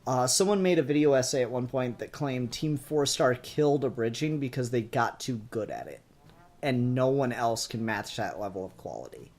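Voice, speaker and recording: A man hosting a podcast in a casual, animated review chat. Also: medium pitch, normal speed. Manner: giggly, animated quote re-enacting. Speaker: podcast host.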